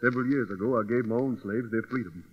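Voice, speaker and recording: An actor playing a grave, honorable army colonel torn by anguish, solemn and earnest in old-time radio drama style. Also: male voice, mid-range voice, quick pace, husky, close to an everyday voice. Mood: resolute, solemn. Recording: dull vintage radio recording, faint music.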